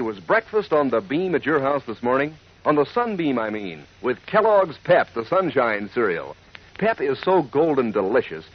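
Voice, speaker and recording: A man's voice: medium pitch, breathy edge. An American man delivering a chummy, folksy, enthusiastic 1940s radio advertisement. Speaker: radio sponsor pitchman. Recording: muffled 1940s radio recording.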